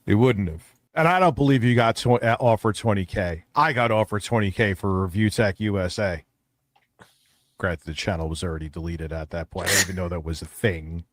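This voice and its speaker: A man's voice: deep voice, brisk, no echo. A man talking casually off the cuff as an online creator, blunt, candid and prone to swearing. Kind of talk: casual unscripted monologue.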